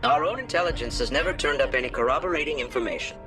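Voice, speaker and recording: Lively-pitched skeptical alien councilor. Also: male voice, rapid-fire. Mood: stern, cautious. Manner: formal, emphatic. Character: skeptical male alien councilor, cautious and official. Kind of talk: video game voice acting. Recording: music behind the voice.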